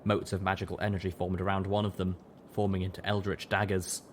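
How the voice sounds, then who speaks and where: A man's voice: low-pitched, little pitch movement, fairly quick, a little hiss or hum. A man reading scripted first-person story narration as an audiobook narrator. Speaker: audiobook reader, show narrator.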